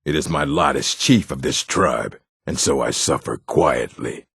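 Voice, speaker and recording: An actor playing a proud, weary orc chieftain in a fantasy video game, with a deep, rough voice and a terse, scornful, commanding manner. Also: male voice, lively intonation, unhurried, fairly bright tone, no echo, clean studio sound, exaggerated voice. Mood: burdened, bitter.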